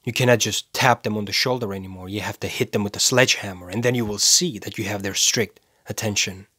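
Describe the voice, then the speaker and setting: An actor playing a cold, self-righteous serial killer in a dramatic film monologue, speaking deliberately and with menace, pausing for effect. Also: male voice, on the low side, fairly even intonation, sharp tone, breathy edge, dry recording, studio quality. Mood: cold, controlled. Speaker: actor performing a movie monologue.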